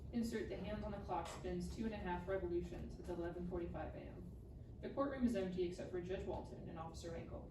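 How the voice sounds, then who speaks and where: A woman narrates screenplay action lines at a live reading. Her voice sits a little low for a woman. It is picked up at a distance in an echoey, noisy room. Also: quick pace. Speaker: stage directions reader. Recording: echoey room, distant mic, clear noise.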